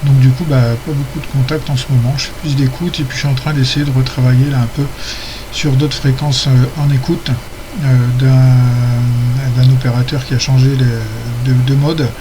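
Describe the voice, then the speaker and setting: A man running an amateur radio net, chatting casually and haltingly between spelled-out call signs. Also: medium pitch, level tone. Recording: clearly noisy amateur radio recording.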